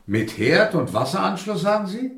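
An actor playing a genteel, weary and odd gentleman scientist in a radio drama, formal, courteous and deliberate. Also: high voice, very animated, full character voice. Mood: polite, reserved. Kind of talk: German radio drama acting. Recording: roomy binaural interior.

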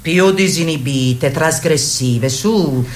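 A woman's voice: low voice, slow pace, very noisy. A woman playing a commanding, encouraging feminist workshop leader in a radio play, exhorting her group with theatrical, rhetorical fervour. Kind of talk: radio theatre acting, declamatory.

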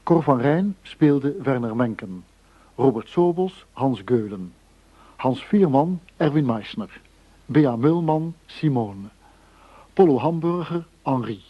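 A male radio drama announcer reads scripted credits. His voice is husky and medium in pitch. The recording is dull and lo-fi. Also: animated, slow pace.

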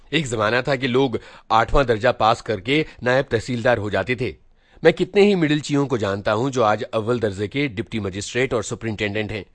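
Man acting a stern, preachy elder brother, animated. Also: mid-range voice, no echo, close to an everyday voice. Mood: indignant scolding. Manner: scolding, emphatic, moralizing with rhetorical questions. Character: stern, preachy elder brother, a schoolboy lecturing his junior.

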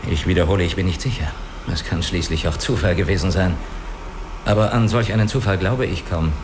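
An actor in a radio drama playing a guarded, analytical spaceship crewman who speaks deliberately and reasons step by step. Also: low voice, background noise, natural voice. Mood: wary, insistent. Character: guarded, analytical male spaceship crewman hiding his identity. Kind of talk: German radio play dialogue.

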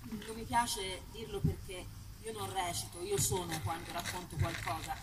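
An Italian woman answering interview questions, animated and enthusiastic. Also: medium pitch, moderate pace, heavy background noise. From Italy. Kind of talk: conversational interview.

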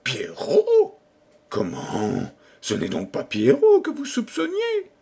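A man's voice: mid-range voice, very animated, measured pace. A man voicing a flustered, vain and gullible old king in a storybook tale, with exclamatory, stammering speech. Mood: panicked, indignant.

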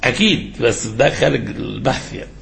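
An Egyptian-accented man giving an informal religious lesson as a sheikh, in a halting, didactic manner that prompts listeners with questions, mixing classical and colloquial speech. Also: medium pitch, animated, gravelly, a bit bright.

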